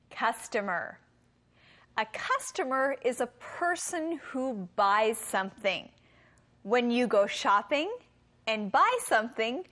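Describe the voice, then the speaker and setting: امرأة بصوت مرتفع وإلقاء متمهل. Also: female voice, fairly high pitch, fairly bright tone. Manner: careful enunciation. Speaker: co-host of an English lesson show. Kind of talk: scripted teaching, simple clear explanations.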